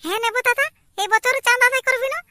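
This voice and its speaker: A little cartoon village boy argues stubbornly in a Purulia Bengali accent. The voice is pitched up extremely high, with a sharp edge and a very dry sound. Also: steady tone, quick pace, dry recording, full character voice. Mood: eager, chatty. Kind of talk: amateur cartoon comedy dialogue.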